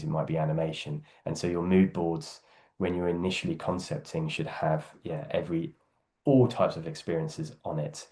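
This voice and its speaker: A man's voice: rumbling low, a little mellow. A man speaking on a video call as a guest speaker, giving a loose webinar talk and answering questions, with many fillers and restarts.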